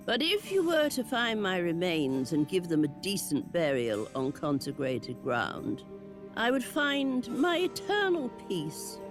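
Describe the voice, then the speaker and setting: A woman's voice: on the low side, heavily acted. A woman voicing the lonely, wry ghost of a witch burned at the stake, in a game cutscene. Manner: slow, drawling, sly asides. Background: game music.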